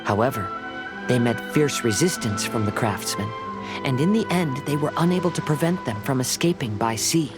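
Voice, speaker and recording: A man playing a gentle young wandering samurai in a video game, speaking in measured, formal, reflective phrases. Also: mid-range voice, expressive, over loud music, close to an everyday voice. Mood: calm, reflective. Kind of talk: video game story dialogue.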